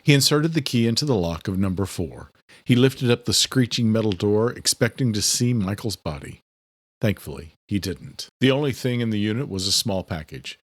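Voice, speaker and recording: Expressive male audiobook narrator, dry sound. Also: fairly low pitch, moderate pace, dry recording. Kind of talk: dramatic scripted narration.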